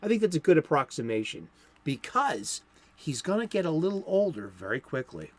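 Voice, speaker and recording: A man's voice: fairly high pitch, sing-song, normal speed. A man from Boston hosting a solo comics talk show, chatty and playful with rambling asides.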